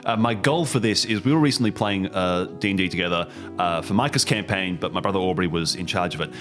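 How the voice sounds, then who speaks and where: A man's voice: sits low, fast, over music. An Australian man hosting a podcast, introducing it in a casual, jokey, rambling way. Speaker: host and game master.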